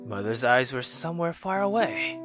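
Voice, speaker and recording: A man reading a visual novel aloud theatrically as a gaming YouTuber, with excitable, sweary commentary. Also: mid-range voice, moderate pace, music in the background.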